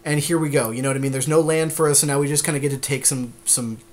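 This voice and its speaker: Male co-host, medium pitch, relaxed conversational strategy talk, somewhat tentative as he reasons through options. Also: quick pace. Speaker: podcast co-host. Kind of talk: casual strategy chat during a card game draft.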